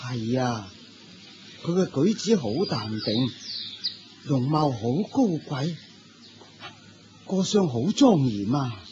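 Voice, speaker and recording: A man's voice: mid-range voice, sing-song, slow pace, long pauses. An actor with a Hong Kong Cantonese accent playing a plain-spoken, respectful and curious layman in a radio drama, colloquial and earnest. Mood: observant. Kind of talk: Buddhist radio drama acting. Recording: muffled, noisy lo-fi radio recording.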